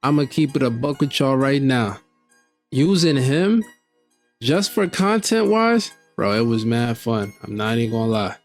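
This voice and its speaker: A man's voice: mid-range voice, very animated, unhurried. Slangy man over faint music. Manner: slangy, hyped, laid-back street talk. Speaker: gaming video creator. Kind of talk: casual gaming commentary.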